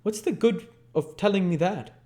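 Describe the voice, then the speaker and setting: A man's voice: high-pitched, normal speed, warm tone, voice pushed up, natural voice. A man voicing a brutal, menacing thief who growls threatening commands in a storybook reading. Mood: irritated. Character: brutal, menacing adult male thief bullying a boy. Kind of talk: storybook character voice.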